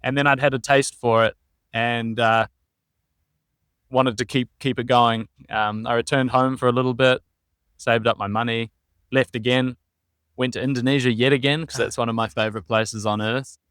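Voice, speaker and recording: Smooth-voiced young New Zealand man. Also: mid-range voice, moderate pace, no echo, studio quality. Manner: relaxed, meandering storytelling with frequent ums. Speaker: podcast guest. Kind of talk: casual interview chat.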